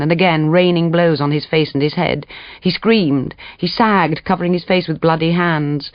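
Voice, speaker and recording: A man's voice: fairly high pitch, dry recording. Man narrating a dramatic story, dry dull sound. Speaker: audiobook reader.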